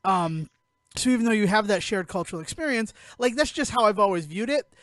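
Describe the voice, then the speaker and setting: Higher-pitched American man, podcast banter. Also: brisk, a bit bright, no echo. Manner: opinionated, informal, swears freely. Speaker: podcast host. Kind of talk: casual podcast chat.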